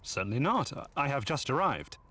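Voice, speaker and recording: An actor playing a dignified, resolute prince in a video game, speaking formally and politely. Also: male voice, medium pitch, very animated, normal speed, dry recording. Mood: puzzled, alarmed. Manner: formal, stately. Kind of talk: video game cutscene acting. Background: loud background music.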